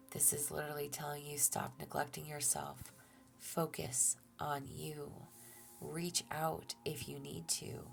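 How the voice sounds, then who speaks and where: A low-pitched woman with a slightly gravelly texture gives a calm, reassuring tarot reading. She speaks softly, often falling almost to a whisper, and sounds a little stuffed up. Faint music plays underneath. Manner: soft-spoken, often drops to a near-whisper; gentle and reassuring, with fillers and restarts. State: stuffy nose. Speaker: tarot reader. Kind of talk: relaxed tarot reading to viewers.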